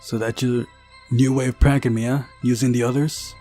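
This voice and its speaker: A man's voice: medium pitch, level tone, normal speed, dark tone, faint music behind, close to an everyday voice. A man voicing an ordinary young man, the sarcastic, weary and irritable hero of a visual novel. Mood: wary sarcastic.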